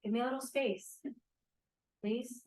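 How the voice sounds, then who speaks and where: A woman's voice: a little high, steady tone, measured pace, darkish tone, echoey room, natural voice. A woman acting a guarded but caring young student activist in a stage play table read. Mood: defensive. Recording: distant room mic.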